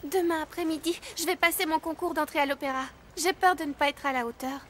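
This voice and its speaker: A woman's voice: sits high, fairly even intonation, quick pace, a bit bright, slight background noise. An actress playing an anxious, earnest young girl in a French cartoon, timid and hesitant. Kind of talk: French animated drama acting.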